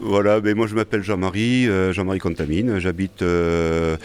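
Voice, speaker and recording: A man with a low voice speaks unhurriedly, pausing between phrases. He sounds relaxed and easy as he talks. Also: over music. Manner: slow, relaxed, with pauses.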